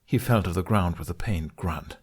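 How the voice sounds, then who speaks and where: A man's voice: deep voice, normal speed, breathy edge. A man reading a scripted story aloud as an audiobook narrator. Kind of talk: scripted narration of fan fiction.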